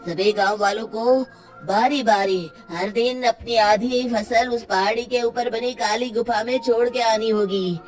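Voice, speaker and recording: A woman voicing a solemn older male village exorcist in a cartoon story, speaking in grave, slow pronouncements. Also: fairly high pitch, steady tone, a little mellow, soft background music, lightly acted, low-quality recording. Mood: commanding. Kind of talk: cartoon voice acting.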